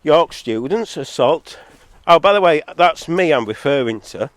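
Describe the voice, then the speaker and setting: A man's voice: expressive, measured pace, no room sound. A man full of a head cold delivers a rambling, argumentative vlog monologue with sardonic asides, on a distorted recording that clips. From Zambia-born, living in England. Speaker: solo video maker. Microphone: phone or camera, recorded too hot. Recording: distorted, clipping from high recording level.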